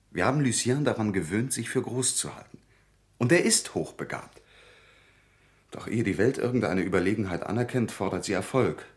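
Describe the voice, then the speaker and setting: An actor playing an earnest, troubled young printer and devoted friend in a German radio drama, grave and measured. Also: male voice, on the low side.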